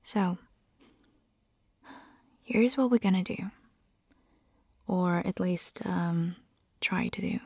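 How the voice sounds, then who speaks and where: A woman playing a caring, teasing vampire hunter girlfriend in an intimate ASMR roleplay, whispering breathily and coaxing gently. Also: on the low side, takes it slowly, pauses often, slightly rough, no echo, full character voice. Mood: playful, coaxing.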